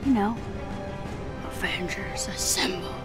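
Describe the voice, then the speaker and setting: A woman's voice: mid-range voice, slow pace, over loud music, natural voice. A woman voicing an eager, earnest teenage girl superhero in a video game, youthful and impassioned. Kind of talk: video game cutscene dialogue.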